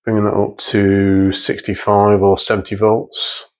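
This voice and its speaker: A man's voice: fairly low pitch, completely flat delivery, measured pace. A man giving unscripted hands-on workshop commentary in a matter-of-fact, methodical way, with hesitant phrasing. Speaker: presenter of a vintage radio restoration channel. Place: indoor workbench in a small workshop.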